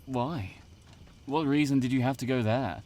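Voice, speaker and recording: A man's voice: mid-range voice, normal speed, a little mellow, slight background noise, lightly acted. A man playing a blunt, skeptical young male camp counselor in an audio drama, brusque and sarcastic. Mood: impatient.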